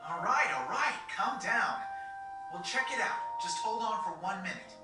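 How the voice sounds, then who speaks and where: A man's voice: high-pitched, animated, normal speed, over music. A man voicing a cocky, sarcastic, easygoing teenage boy in a video game, with a flippant manner. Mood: exasperated. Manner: flippant, dismissive. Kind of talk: video game cutscene voice acting. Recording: echoey, slightly distant game audio.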